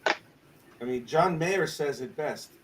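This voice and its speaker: A man's voice: on the high side, slow, recorded from a distance, a loud crowd, rough recording. A man bantering on a live art stream in a goofy, joking way.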